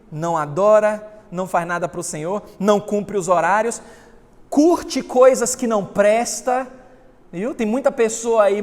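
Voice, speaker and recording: A man preaches a sermon in a high, emphatic voice. He swells louder on the passages he reads. A long reverb hangs behind him. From Salvador, Bahia, Brazil. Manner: emphatic preaching, swelling loud on scripture readings. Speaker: pastor.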